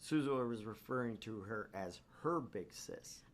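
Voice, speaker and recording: Low-pitched man narrating a visual novel. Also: animated, moderate pace. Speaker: co-host and narrator of a visual novel let's play. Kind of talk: casual chat and story narration.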